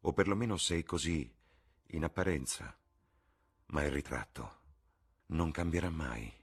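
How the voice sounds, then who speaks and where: A man voicing an earnest, melancholy painter in an audiobook, quiet and pleading, with a husky voice. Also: a bass voice, a little slow, full character voice. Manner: grumbling, sad, pleading. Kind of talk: audiobook character voice.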